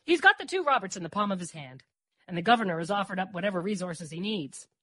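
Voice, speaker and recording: A roguish, boozy newspaperman played by a woman narrator holds forth in a storybook reading. The delivery is grandiose and wheedling, with teasing pauses and big swings in pitch, captured close and dry. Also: expressive, a bit bright, slightly rough, dry recording. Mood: conspiratorial, excited. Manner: theatrical, florid speech with teasing pauses. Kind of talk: audiobook character voice.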